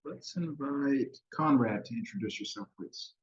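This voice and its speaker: A man's voice: medium pitch, measured pace, slightly rough. A man, a committee member, politely introduces himself over dull, lo-fi video call audio. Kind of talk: self-introduction at a meeting.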